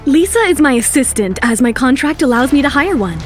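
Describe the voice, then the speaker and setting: A woman's voice: high voice, lively intonation, brisk, soft background music. A woman narrator voicing a self-assured, defiant young bride-to-be in an audio drama, cool and firm.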